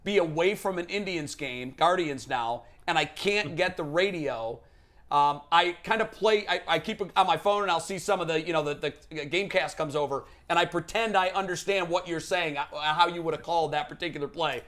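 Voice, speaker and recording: A man with a somewhat high-pitched voice hosts a sports show. He asks his questions in a casual, conversational interview style. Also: fairly quick, fairly bright tone.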